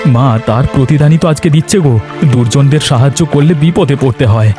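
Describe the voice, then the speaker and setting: A man's voice: medium pitch, fairly quick, warm tone, natural voice. A man playing an anguished, devoted young village farmer in a melodramatic cartoon, earnest and lamenting. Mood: bitter. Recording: music behind the voice. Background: background music.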